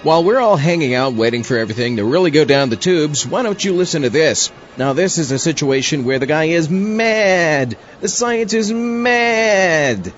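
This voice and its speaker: A man's voice: a little high, lively intonation, normal speed, few pauses, lo-fi sound, soft background music, close to an everyday voice. A man playing a wry, chatty zombie astronaut who hosts a comedic audio drama. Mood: wry hosting. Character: wry, cautious zombie astronaut sidekick and show host.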